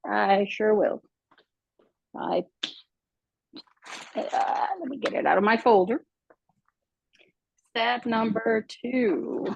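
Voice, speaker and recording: A woman's voice: fairly high pitch, slow, vocal fry, long pauses, dull audio, slight background noise. A woman from Cuba, a guest cook, talking casually with frequent fillers. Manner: chatty, frequent "um" fillers, conversational. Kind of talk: casual storytelling.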